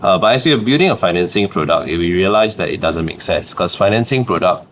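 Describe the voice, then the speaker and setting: A man's voice: low-pitched, animated, fast talker, fairly bright tone, a little hiss or hum. A young adult man from Singapore with a Singaporean accent, a startup founder chatting as a podcast guest, laughing often and dropping "lah" at the ends of phrases. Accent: Singaporean English. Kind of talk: casual interview storytelling.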